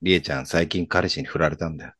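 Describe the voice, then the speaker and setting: A man playing a laid-back older friend, deep-voiced. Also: fast talker, no echo, clean studio sound. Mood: confiding. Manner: teasing, relaxed, low-key banter. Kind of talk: radio drama acting.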